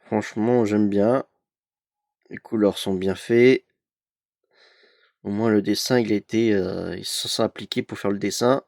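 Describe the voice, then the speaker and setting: Young male vlogger, stuffy from a cold, unhurried and hesitant, dry close sound. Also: lowish voice, fairly even intonation, vocal fry, darkish tone, no echo. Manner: hesitant, many "euh" fillers, chatty. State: has a cold. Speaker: YouTube collector opening an advent calendar. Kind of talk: casual unboxing vlog.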